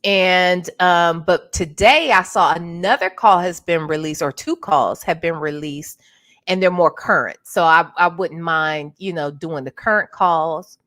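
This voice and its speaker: A woman hosting a casual livestream, chatting with her viewers while sounding congested and clearing her throat now and then. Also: on the low side, a little slow, fairly bright tone. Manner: chatty, greets viewers by name. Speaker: livestream host.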